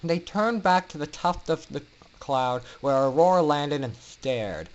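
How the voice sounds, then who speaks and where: Higher-pitched man reading a story dramatically. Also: expressive, muffled recording. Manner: theatrical, emphatic, with dramatic pauses. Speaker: narrator.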